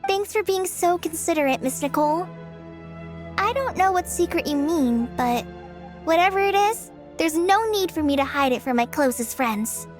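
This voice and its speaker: A woman playing an earnest, gentle young woman in a video game, speaking courteously and sincerely. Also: extremely high pitch, lively intonation, normal speed, music in the background. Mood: polite, earnest.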